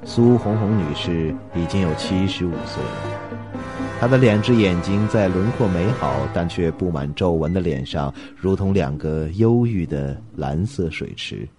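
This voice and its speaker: Male narrator for radio suspense drama, low voice, animated dramatic delivery.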